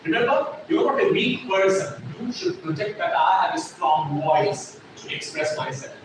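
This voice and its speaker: A man's voice: high voice, very animated, normal speed. A man, lecturing a classroom as a trainer, emphatic and repeating his points while checking whether the class follows. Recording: echoey classroom, distant mic, noisy.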